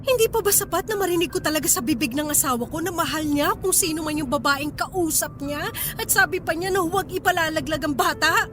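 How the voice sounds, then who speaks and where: A woman's voice: sits high, fairly quick, close to an everyday voice. An actress playing a betrayed wife and mother in a Filipino radio drama, plaintive, exasperated and feisty. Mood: hurt, tearful. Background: background music.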